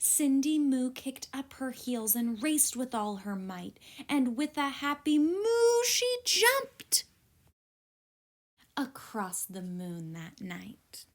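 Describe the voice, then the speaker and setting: Casting note: female teacher type, high voice, unhurried pace, expressive picture-book read-aloud.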